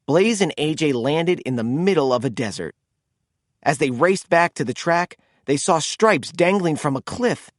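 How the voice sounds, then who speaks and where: A man narrating a children's storybook in an expressive, animated read-aloud style. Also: fairly high pitch, unhurried, no room sound, clean studio sound.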